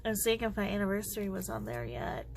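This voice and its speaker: A woman's voice: mid-range voice, sing-song, fast talker, vocal fry, slight background noise. A congested woman chatting casually and rambling as she crafts at home, her thoughts often trailing off. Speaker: planner vlogger. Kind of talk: casual chat while crafting.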